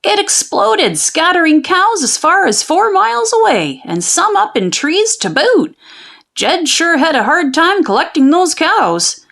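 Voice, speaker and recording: A woman narrating a children's storybook in an expressive, folksy storytelling manner. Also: extremely high pitch, unhurried, creaky. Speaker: children's book read-aloud narrator. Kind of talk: animated storybook narration of a folksy tall tale.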